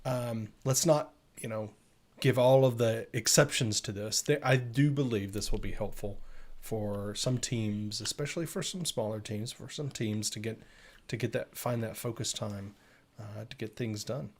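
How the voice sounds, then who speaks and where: A man's voice: lowish voice, moderate pace. A man co-hosting a tech news show, talking casually and unscripted as he explains things step by step.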